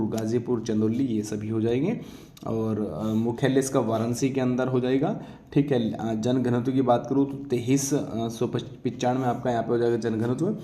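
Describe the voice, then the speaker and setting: A man's voice: mid-range voice, mellow. A man giving an informal exam-prep lecture, instructing students with frequent check-in tags and questions he answers himself. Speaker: exam coaching teacher. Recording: echoey room.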